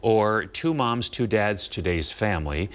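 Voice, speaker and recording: Male morning show host, American, low voice, conversational on-air interviewing. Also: lively intonation, measured pace, fairly bright tone.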